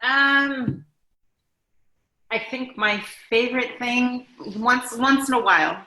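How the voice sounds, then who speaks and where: A woman's voice: a little high, takes it slowly, bright, forceful tone. A middle-aged woman reminiscing casually as an interview guest, informal and rambling. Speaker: guest, daughter of an interviewed actor. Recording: roomy, echoey sound.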